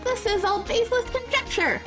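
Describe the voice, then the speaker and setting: Woman as a high-voiced, flustered male prosecutor. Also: a little fast. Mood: flustered, indignant. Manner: stammering, protesting. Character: flustered, indignant male prosecutor, vindictive in argument. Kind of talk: reading visual novel game dialogue aloud. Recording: music behind. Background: game music.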